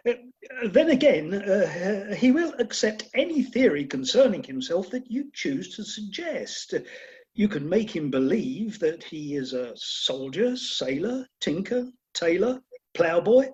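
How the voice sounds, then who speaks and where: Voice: mid-range voice, big pitch swings, slow pace, darkish tone. A performer playing a polite, hesitant character in an amateur comic radio play over a muffled video call. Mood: politely confiding. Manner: hesitant, courteous. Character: polite, hesitant relative consulting the doctors.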